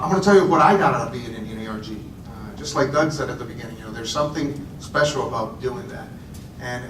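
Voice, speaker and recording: A man who grew up in Puerto Rico gives a conversational conference talk to a live audience, often repeating words and making chatty asides. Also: fairly bright tone. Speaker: speaker. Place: conference room. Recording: roomy echo, voice from far off, faint rumble.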